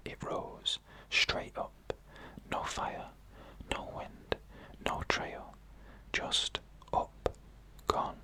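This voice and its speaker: A narrator reading eerie first-person stories in a slow, deliberate ASMR whisper, pausing between short phrases. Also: low-quality recording.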